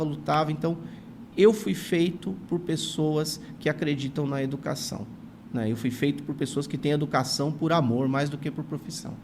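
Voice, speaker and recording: Earnest forties man with a São Paulo accent, gravelly and grateful, interview guest. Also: a little high, slight background noise. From São Paulo, Brazil. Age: 40s. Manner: earnest, grateful, many "não é" tags. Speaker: guest, retired teacher and writer. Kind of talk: reflective storytelling in an interview.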